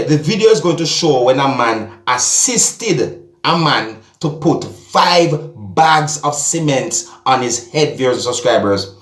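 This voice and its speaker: A Jamaican man commenting on video clips for his vlog, talking deliberately and addressing his viewers over and over. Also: medium pitch, reverberant. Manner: repetitive, addresses "viewers and subscribers", slow and deliberate. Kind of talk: casual commentary.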